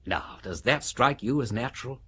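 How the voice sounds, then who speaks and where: An actor playing a witty aristocratic English amateur detective in a British radio drama, brisk and theatrical as he reasons aloud. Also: mid-range voice, pushed and bright, slightly rough. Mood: keen, argumentative. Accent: upper-class English.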